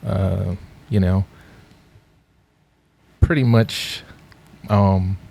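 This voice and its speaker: A middle-aged man from Los Angeles, a podcast guest telling his story in a relaxed, rambling way with lots of fillers. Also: low voice, drawn-out words, creaky. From Los Angeles, USA. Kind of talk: casual podcast interview.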